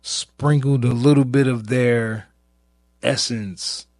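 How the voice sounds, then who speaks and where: Male podcast host, medium pitch, slow and searching delivery, informal reflective monologue, very dry close sound. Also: steady tone, a little mellow, dry recording. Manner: halting, searching, with long pauses and repeated phrases; informal, rhetorical questioning. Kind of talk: reflective personal monologue about grief.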